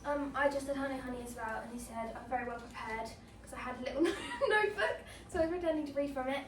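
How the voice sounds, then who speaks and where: A bubbly young woman chatting casually with classmates, cheerful and quick to cheer. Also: high-pitched, expressive. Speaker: student. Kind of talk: casual group chat. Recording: echoey room, far mic, clear noise.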